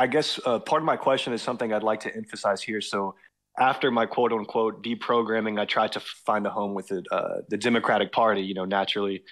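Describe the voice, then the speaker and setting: A man's voice: lowish voice, fairly bright tone. A man calling in to a talk show, speaking hesitantly and searching for his words. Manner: hesitant, lots of fillers and restarts, searching for words. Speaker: caller. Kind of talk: casual phone-in conversation.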